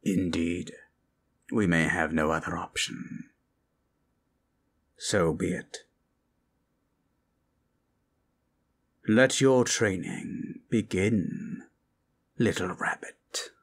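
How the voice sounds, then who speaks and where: A man voicing a solemn undead mentor in a fantasy ASMR roleplay, speaking gravely and deliberately. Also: deep voice, pitched below the performer's own voice, heavily acted. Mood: ominous, resolved.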